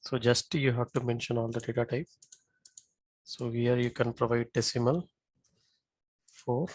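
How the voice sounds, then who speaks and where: A man teaching software over a shared screen, explaining step by step in a halting way. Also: mid-range voice, little pitch movement, no room sound. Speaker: software trainer.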